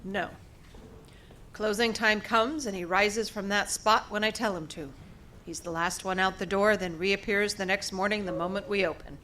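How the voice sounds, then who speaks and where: An actress playing a fretful, soft-hearted and proper library boss in a radio drama. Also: female voice, medium pitch, fairly even intonation, moderate pace, a little hiss or hum, natural voice.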